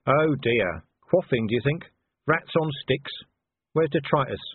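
A man's voice: mid-range voice, lively intonation, measured pace, slightly rough, no room sound, narrow, tinny audio, pitched above the performer's own voice. A man voicing a weary, wry and reluctant male ambassador, dryly thoughtful, in an audiobook. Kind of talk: audiobook character voice.

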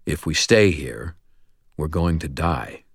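A male narrator plays a calm man of grim resolve in an audiobook. The voice is low and quiet, measured in delivery, recorded very dry. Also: no room sound. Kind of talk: audiobook character acting.

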